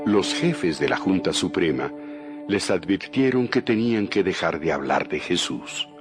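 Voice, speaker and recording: Deep, slow male Bible narrator. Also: big pitch swings. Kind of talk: scripted dramatized Bible narration. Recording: music bed behind the voice.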